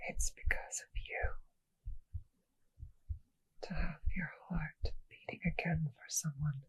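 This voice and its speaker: A woman voicing a seductive, possessive and doting vampire noblewoman in an intimate ASMR roleplay, speaking in tender, teasing, breathy whispers. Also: low voice, steady tone, slow, dry recording, full character voice, rough recording. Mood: tender, soothing.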